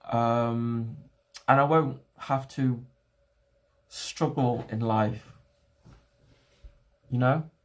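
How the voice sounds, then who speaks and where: A young man whose first language is not English, chatting casually to his livestream viewers from home and thinking aloud in halting, restarting phrases. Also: medium pitch, fairly even intonation. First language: a home language other than English. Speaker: streamer.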